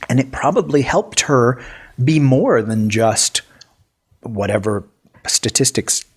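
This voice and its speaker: Animated husky man chatting on a podcast. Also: medium pitch, slow pace. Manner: animated storyteller, many restarts. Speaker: podcast co-host. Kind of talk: casual chat.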